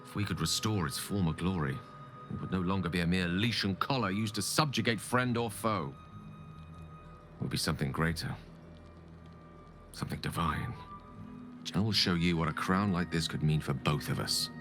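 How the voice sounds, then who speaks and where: A man acting as an eloquent, ambitious wizard in a video game, speaking in theatrical, erudite phrases with dramatic pauses. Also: deep voice, over music, close to an everyday voice. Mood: ambitious, persuasive. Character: ambitious, eloquent male wizard hungry for godlike power. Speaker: game character, wizard companion.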